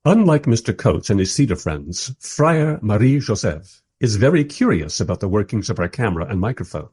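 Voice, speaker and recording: A man playing a wry documentary maker, narrating a radio play in the first person with expressive, husky delivery. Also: fairly low pitch, moderate pace, no echo, studio quality. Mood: observant, wry. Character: wry, enthusiastic documentary maker narrating his historical encounters.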